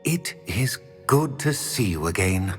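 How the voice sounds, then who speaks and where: An actor playing a grave old wizard professor in a video game, speaking in a measured, formal and courteous manner. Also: male voice, low-pitched, big pitch swings, soft background music. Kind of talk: video game cutscene acting.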